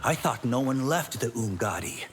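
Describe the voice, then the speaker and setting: An actor playing a humble, good-natured martial-arts champion in a video game, courteous and sincere. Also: male voice, mid-range voice, lively intonation, moderate pace, heavy background noise, close to an everyday voice. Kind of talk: video game character dialogue. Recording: long reverb, faint background ambience.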